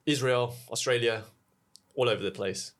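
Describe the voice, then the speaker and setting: A man from South Korea is a guest on a podcast. His voice is mid-pitched, and he answers questions in short, plain replies. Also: moderate pace, fairly bright tone. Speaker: youth ministry worker and podcast guest.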